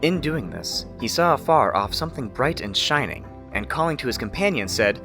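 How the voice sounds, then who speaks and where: A man narrating a fairy tale as a storyteller, in an expressive, measured way. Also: mid-range voice, music in the background.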